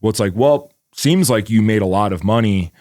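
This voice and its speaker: A man's voice: fairly low pitch, a little fast, a little mellow, no room sound, studio quality. A man around forty co-hosting a podcast, talking casually in a rambling way with lots of "like" and freely dropped swear words. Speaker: podcast co-host. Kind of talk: casual chat.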